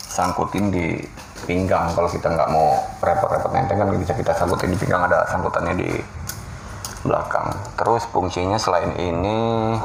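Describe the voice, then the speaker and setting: A man's voice: low voice, gravelly, a little hiss or hum, low-quality recording. A man, giving an informal, slangy, unscripted hands-on product review. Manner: informal, slangy, talking through a hands-on demonstration.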